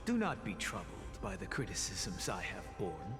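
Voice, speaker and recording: A man's voice: sits low, wildly swooping pitch, moderate pace. A man playing a solemn, kindly sage and mentor in a video game, speaking formally and paternally. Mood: solemn, encouraging. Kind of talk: dramatic video game voice acting. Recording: echoey long reverb, music behind.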